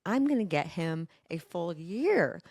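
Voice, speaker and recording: A woman podcast host telling stories in casual chat, with an animated, dramatic and sweary manner. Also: on the low side, measured pace, slightly rough, no echo, studio quality.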